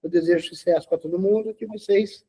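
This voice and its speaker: Husky high-voiced man in his sixties. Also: steady tone, quick pace, warm tone, rough recording. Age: 60s. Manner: lecturing, tags sentences with "tá?". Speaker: interview guest. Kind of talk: casual interview talk.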